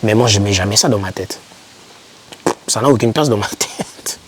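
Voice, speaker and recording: A man's voice: fairly low pitch, moderate pace, slightly rough. A young man giving informal motivational advice to camera, speaking emphatically and repeating key words to encourage the listener. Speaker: YouTuber advising foreign students.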